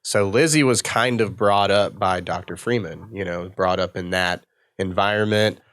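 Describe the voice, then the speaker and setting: A man's voice: deep voice, normal speed, fairly bright tone, no room sound. Dry-voiced Texan man, rambling and loose. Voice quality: dry sounding voice. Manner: loose, rambling, laughing storyteller. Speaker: guest comedian. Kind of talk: casual podcast chat.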